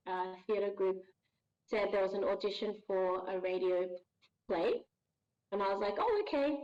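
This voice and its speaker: A woman from Ghana, a voice actor guest on a podcast, talking in a friendly, thoughtful way and laughing easily. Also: medium pitch. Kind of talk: casual podcast interview. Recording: dull lo-fi audio.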